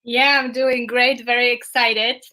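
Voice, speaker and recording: A woman's voice: on the high side, level tone, a little slow, bright, forceful tone. A woman from Poland with a Polish accent, speaking enthusiastically to encourage listeners on a live call. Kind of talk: casual live-stream chat.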